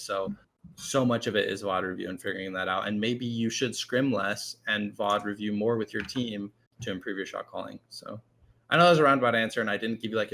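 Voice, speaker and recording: A man guesting as a team shot caller, talking loudly in a rambling, filler-heavy casual way. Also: lowish voice, vocal fry. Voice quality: loud voice. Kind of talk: casual panel chat.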